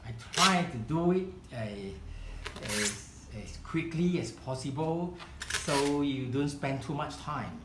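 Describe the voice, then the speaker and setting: A man whose first language is Chinese, leading a live kokedama-making demonstration in a room and often pausing to search for words. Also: a little high, lively intonation, echoey room, very noisy. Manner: halting, searching for words, many restarts. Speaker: kokedama workshop founder demonstrating. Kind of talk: live hands-on craft demonstration. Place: room at a home demonstration event.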